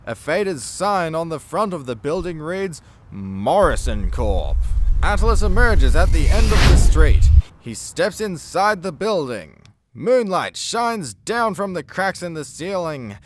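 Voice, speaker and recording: A man's voice: fairly high pitch, sing-song, unhurried, soft background music. A man narrating a scripted superhero comedy radio play with a dramatic announcer's delivery.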